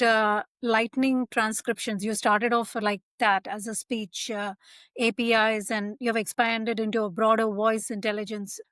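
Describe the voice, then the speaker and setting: A woman's voice: fairly high pitch, a bit bright, dry recording. A woman hosting a podcast, asking questions in a friendly, conversational way. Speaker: podcast host. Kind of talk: interview hosting.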